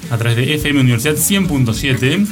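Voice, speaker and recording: A man's voice: medium pitch, lively intonation, fast. A man with an Argentine accent hosting a radio show with casual, mock-formal banter. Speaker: radio host. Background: guitar music.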